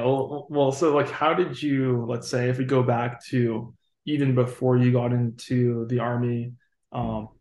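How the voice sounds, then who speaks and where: A man's voice: medium pitch, darkish tone, echoey room. A man hosting a podcast, introducing his guest and asking questions in a loose, friendly way. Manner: friendly, loose, with fillers and restarts. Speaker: podcast host. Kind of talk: casual podcast interviewing.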